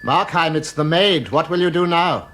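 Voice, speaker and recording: An actor playing a sinister, persuasive tempter in a radio drama, taunting and relentless, with an insistent theatrical delivery full of probing questions. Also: male voice, fairly high pitch, moderate pace, noisy background, natural voice. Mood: urgent, commanding. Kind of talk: dramatic British radio play acting.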